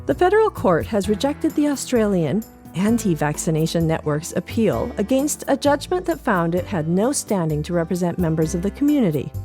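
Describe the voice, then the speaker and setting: Podcast newsreader: Canadian female, animated and playful delivery of script, soft music underneath. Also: medium pitch, brisk. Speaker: newsletter reader on a podcast. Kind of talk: light-hearted scripted news reading.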